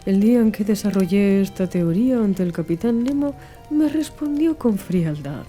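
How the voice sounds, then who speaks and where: A woman's voice: medium pitch, animated, moderate pace, warm tone, soft background music. A woman reading scripted audiobook narration.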